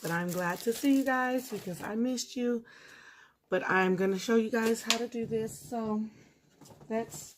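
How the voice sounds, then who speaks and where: A woman's voice: a little high, unhurried, noisy background. A woman losing her voice, chatting casually with viewers while she does a nail tutorial at a table at home. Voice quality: husky, gravelly. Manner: chatty, talks straight to viewers while working.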